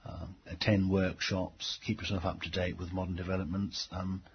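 A middle-aged man giving reflective interview answers as a teacher, speaking haltingly with frequent pauses. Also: sits low, flat delivery. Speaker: English language teacher being interviewed. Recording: dull lo-fi tape, faint background noise.

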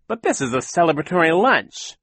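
A man's voice: on the high side, wildly swooping pitch, moderate pace, bright, forceful tone, dry recording, voice pushed up, heavily acted. A man voicing a scheming villainous sea captain who gushes with false sentiment, in an audiobook story. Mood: gloating, jovial. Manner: theatrical, gushing insincerity.

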